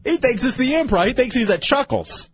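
A man hosting a radio talk show, animated and mocking, quick to laugh. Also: very high voice, husky, no room sound, muffled recording. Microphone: studio microphone.

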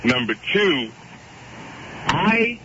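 A deep-voiced man calling in to a radio talk show, earnest and wordy, often cutting in to make his point. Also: very animated, extremely slow, pushed and bright. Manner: earnest, wordy, interrupts with repeated "wait a minute". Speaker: caller. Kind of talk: radio call-in chat. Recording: dull radio audio with clear hiss.

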